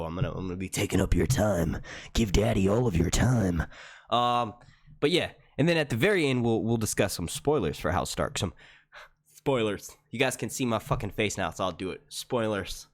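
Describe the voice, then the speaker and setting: A young man hosting a casual live stream at home into a desk microphone, chatty and profane, with a naturally loud, carrying voice. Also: mid-range voice. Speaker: YouTube live stream host. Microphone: desk mic.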